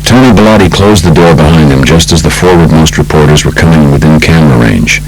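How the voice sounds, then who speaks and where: A man with an American accent reading a true crime audiobook in a measured, polished storytelling manner. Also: extremely low pitch, soft background music, rough recording. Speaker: audiobook narrator. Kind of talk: scripted narration of true crime book.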